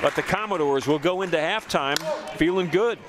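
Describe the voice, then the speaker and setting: A man doing play-by-play for a live college basketball game, lively and joking as he calls the action. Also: on the high side. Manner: lively, joking, calls plays in quick bursts. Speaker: play-by-play announcer. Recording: loud crowd and gym noise behind.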